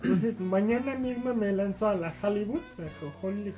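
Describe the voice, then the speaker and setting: A man's voice: high voice, normal speed, dark tone, soft background music, lightly acted. A man with a Mexican accent voicing a boastful, rambling rocker who brags and grumbles in a comedy bit. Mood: indignant. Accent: Mexican Spanish. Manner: bragging, rambling, mock-indignant. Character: boastful middle-aged male rocker Gudelio. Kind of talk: comedy sketch on a podcast.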